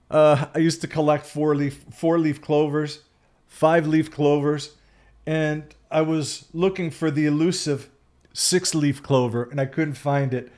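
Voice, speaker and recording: A man's voice: on the high side, darkish tone. A man, talking in a reflective solo video, rambling and correcting himself, candid and emphatic at times. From Canada. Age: 60s. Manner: rambling, with frequent self-corrections and fillers. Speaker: spiritual vlogger.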